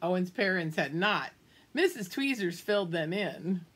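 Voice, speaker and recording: An older woman reads a children's picture book aloud at an unhurried pace. Her voice is slightly husky and swings widely up and down, with big emphasis on key words and little asides to the children. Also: on the low side, lively intonation, a bit bright. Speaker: children's storybook reader. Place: small room at home, indoors.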